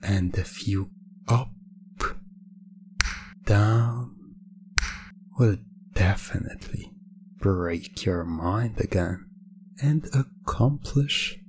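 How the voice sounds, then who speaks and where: A man performing hypnosis ASMR in a soft, husky, breathy voice, speaking deliberately with a teasing, commanding manner. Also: fairly low pitch, noisy background.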